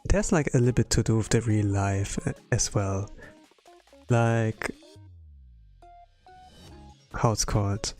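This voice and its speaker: A man from Europe, a non-native English speaker, chatting casually on a livestream in a hesitant, halting way with lots of fillers, sounding tired. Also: lowish voice, darkish tone. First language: not English. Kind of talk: casual rambling livestream chat. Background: background music.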